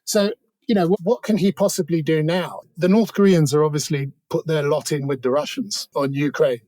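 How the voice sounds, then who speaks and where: A man's voice: on the high side, fairly even intonation, moderate pace, dark tone, no room sound. A man with a British accent giving conversational political commentary as an interview guest, talking discursively with many asides. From Britain.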